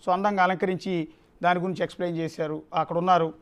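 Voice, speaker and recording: A male news anchor with a distinctly high voice. He comments live and off the cuff, driving points home by repeating his phrases with emphasis. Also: level tone, quick pace, smooth.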